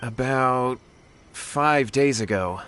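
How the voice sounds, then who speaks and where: A man's voice: mid-range voice, slow pace, a bit bright, a little hiss or hum. A man voicing an earnest, anxious young martial arts student in a video game, polite, hesitant and deferential. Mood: worried. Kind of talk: English video game voice acting. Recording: music behind the voice.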